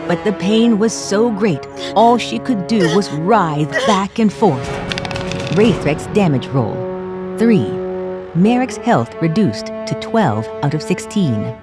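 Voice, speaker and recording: Animated woman narrating a fantasy game. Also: medium pitch. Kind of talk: dramatic scripted storytelling of tabletop game. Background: music.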